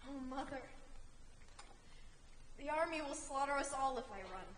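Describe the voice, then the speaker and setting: High-voiced resolute young princess played by a woman, echoey hall. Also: lively intonation, moderate pace, a bit bright. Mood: solemn resolve. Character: resolute young Greek princess accepting her sacrifice. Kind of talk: theatrical stage tragedy acting. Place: stage in a theatre hall. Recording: echoey theatre hall, faint noise.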